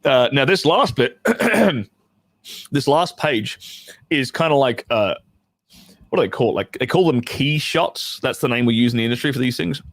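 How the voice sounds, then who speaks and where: Male livestream host, fry-laden voice, dry close sound, rambling, joking, sweary, casual commentary. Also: mid-range voice, lively intonation, normal speed, no room sound. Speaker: adult film performer hosting a livestream.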